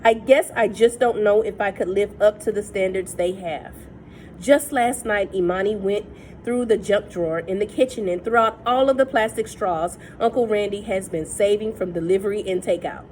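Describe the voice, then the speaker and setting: A woman reading a novel aloud in a steady, measured first-person voice. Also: mid-range voice, slight background noise, poor audio. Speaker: reader.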